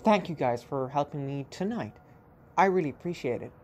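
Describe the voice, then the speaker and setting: A woman's voice: sits low, lively intonation, a little fast, a little mellow, a little hiss or hum. A woman playing a polite, mild young immigrant man in a radio play. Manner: courteous, mild.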